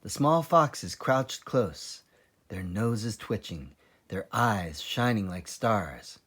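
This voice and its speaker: A man reading a children's book aloud as narrator, in an expressive, dramatic and deliberate style. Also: fairly low pitch, husky. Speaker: children's story reader, librarian.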